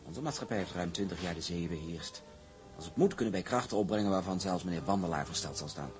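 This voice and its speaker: An actor playing a cold, condescending, ruthless young shipping heir in a radio drama. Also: male voice, low voice, fast, natural voice. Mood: guarded. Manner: condescending, evasive. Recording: music behind the dialogue.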